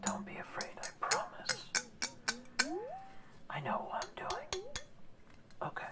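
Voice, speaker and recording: A woman's voice: very high-pitched, wildly swooping pitch, exaggerated voice. Woman whispering as an old kindly orca. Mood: gentle whisper. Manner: soft whisper, slow and reassuring. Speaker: ASMR roleplayer. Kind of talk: ASMR roleplay.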